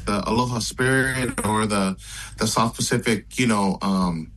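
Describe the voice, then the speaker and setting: A man's voice: on the low side, unhurried, fairly bright tone, rough recording. A man from Hawaii, chatting easily as a musician guest over a video call. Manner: laid-back, easygoing, lots of fillers. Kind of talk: casual interview chat.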